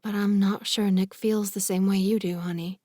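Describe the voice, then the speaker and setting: A woman's voice: mid-range voice, level tone, normal speed, warm tone, no echo, clean studio sound, natural voice. A woman voicing a worried, protective middle-aged mother, stern and fussing, in an audiobook. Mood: gentle, doubtful. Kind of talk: audiobook character dialogue.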